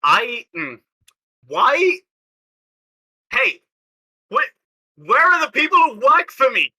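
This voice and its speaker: Very bright, high-pitched animated woman. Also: slow, low-quality recording. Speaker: tabletop game player. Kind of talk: improvised in-character roleplay and table chat.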